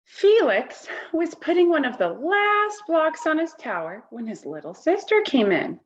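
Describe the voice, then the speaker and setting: A woman reading a picture book aloud to children, expressively, pausing to comment and ask simple questions. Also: high-pitched, muffled recording. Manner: expressive, asks simple questions of young listeners. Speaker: storybook reader.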